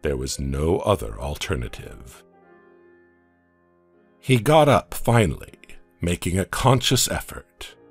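Slow, deep-voiced male storyteller. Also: very animated, breathy edge, dry recording, soft background music. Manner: slow, dramatic storytelling with hushed drops. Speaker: narrator. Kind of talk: scripted audiobook narration.

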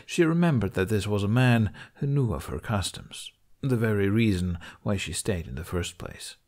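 A man's voice: fairly low pitch, lively intonation, moderate pace, darkish tone. A man reading scripted fiction as an audiobook narrator.

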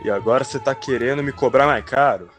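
A man's voice: medium pitch, quick pace, fairly bright tone, close to an everyday voice. A man voicing a grumbling, cocky old pilot in a comic anime parody dub, casual and blustery. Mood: indignant. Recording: music under the voice.

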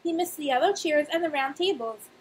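A young woman, a nursery teacher, reading aloud and talking to young children in simple, expressive speech with emphatic pauses. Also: sits high. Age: young adult. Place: small indoor room. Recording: clear background noise, webcam-style room recording.

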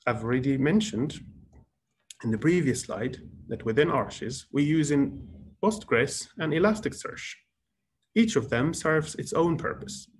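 A man in his thirties calmly explaining the choice of databases in an online workshop talk. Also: medium pitch, unhurried, a little hiss or hum. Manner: calm, explanatory. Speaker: presenter. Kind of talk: lecture presentation on a video call. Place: small office indoors. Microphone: webcam on a video call.

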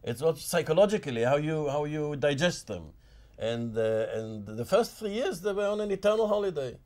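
A man's voice: a little high, expressive, moderate pace, a little mellow. An older man, born in Germany and raised in Palestine, recalls his childhood in an interview, telling it with animation and plenty of fillers and restarts. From born in Germany, grew up in Palestine. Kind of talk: recollecting in an interview. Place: indoor office with shelves.

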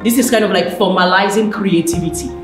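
A young woman from Cameroon, a PhD student walking through university lab rooms and explaining them in a relaxed way with frequent "like" fillers. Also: mid-range voice, a little fast. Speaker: PhD student giving a campus tour. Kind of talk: casual guided tour talk. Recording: echoey indoor rooms. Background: background music.

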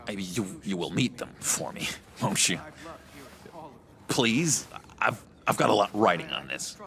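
An actor playing an eager, unhinged young schemer in a video game, halting and wheedling with sinister warmth. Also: male voice, on the low side, creaky, full character voice. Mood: pleading, excited. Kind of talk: video game character acting.

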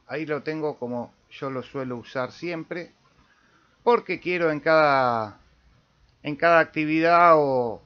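A man with an Argentine accent giving a casual step-by-step software tutorial as host, with small check-in tags. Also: mid-range voice. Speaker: amateur radio tutorial host. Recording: dull lo-fi voice-over recording.

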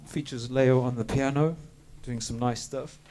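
A man from South Africa with a light South African accent, chatting casually between songs as bandleader, relaxed and rambling with lots of fillers. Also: medium pitch, a little slow. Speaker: singer and bandleader. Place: small music studio with a live band. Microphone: stand mic. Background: live band playing loudly.

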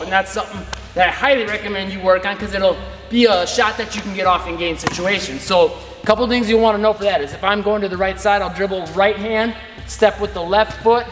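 Male coach, 30s, high voice, casual demo talk, roomy gym sound. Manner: casual, talks while demonstrating moves. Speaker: basketball coach. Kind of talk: instructional video. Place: large indoor gym. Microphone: far camera. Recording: echoey gym with long reverb.

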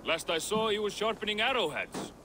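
A man's voice: high voice, animated, a little fast, heavy background noise. A man playing a gossipy, good-humored Norse warrior in a video game, with a wry, forthcoming manner. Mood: matter-of-fact. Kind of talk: video game dialogue acting.

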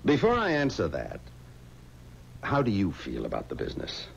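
Lively, low-voiced, confident boss. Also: male voice, normal speed, vocal fry, full character voice. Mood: paternal. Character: confident, paternal funeral home boss, brisk and dismissive. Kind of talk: 1970s radio drama acting. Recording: dull, faintly noisy 1970s radio recording.

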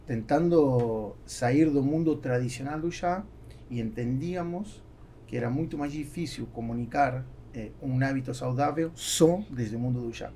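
An Argentine man with a creaky, mellow voice and native Spanish answers as a podcast guest. He searches for words and slips between Spanish and Portuguese, with noticeable noise behind him. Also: medium pitch, normal speed. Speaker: podcast guest, business owner. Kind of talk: conversational interview answers. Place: small acoustically treated podcast studio. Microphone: studio microphone on boom arm.

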